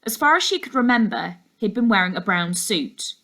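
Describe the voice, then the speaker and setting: A woman's voice: mid-range voice, expressive, normal speed, a bit bright. A woman teacher narrating a children's storybook expressively, as if for her class. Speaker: teacher reading a class book aloud.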